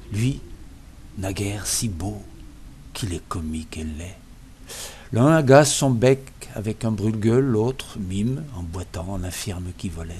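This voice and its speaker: A man gives a dramatic, literary poetry reading, declaiming phrase by phrase with expressive emphasis. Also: fairly low pitch, a little hiss or hum. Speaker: poetry reader. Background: music behind the voice.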